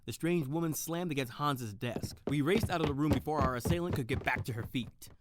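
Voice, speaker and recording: Detective narrator for audio drama, female actor: lowish pitch, descriptive, measured storytelling of their own case. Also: heavy background noise, natural voice. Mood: tense storytelling. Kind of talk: scripted first-person detective narration.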